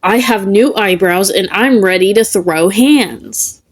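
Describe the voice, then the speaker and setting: A woman voicing a hot-headed, competitive young man in a story, gruff and defiant. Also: mid-range voice, slow, slight background noise, close to an everyday voice.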